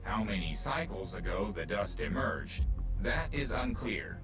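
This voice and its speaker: A composed male AI lays out its findings with precise, formal wording. His low voice moves widely in pitch as he explains. It sounds a little distant, with a strong score swelling beneath it. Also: heavily acted. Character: calm male AI personality, analytical and formal. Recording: distant voice under loud orchestral score.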